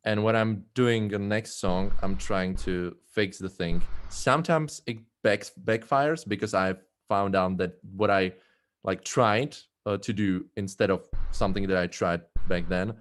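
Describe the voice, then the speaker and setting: A man, a bass singer, answering interview questions in a casual, hesitant way with many fillers and restarts. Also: measured pace. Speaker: a cappella bass singer and arranger.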